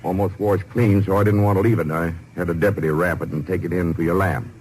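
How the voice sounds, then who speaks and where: A man plays a folksy American sheriff with a fairly low voice. He is plain-spoken and unhurried, in the manner of old radio police drama, over faint recording noise. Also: fairly even intonation, darkish tone, close to an everyday voice, poor audio. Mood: helpful, plain. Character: plain-spoken, cooperative male country sheriff.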